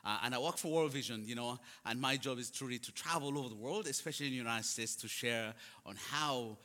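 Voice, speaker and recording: A man's voice: mid-range voice, fast, bright, forceful tone. A man from Uganda with a Ugandan accent, speaking English as a later language, gives a personal testimony to a congregation with storytelling and self-deprecating humour. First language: not English; English is his fourth language. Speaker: guest speaker for a charity. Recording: long hall reverb.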